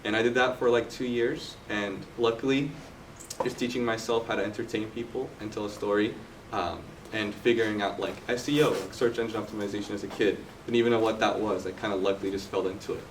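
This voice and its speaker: A young man from Turkey, telling his story in a relaxed, chatty way with many fillers at a live podcast event. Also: on the low side, brisk. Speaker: guest entrepreneur. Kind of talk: casual storytelling interview. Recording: distant mic with faint background noise.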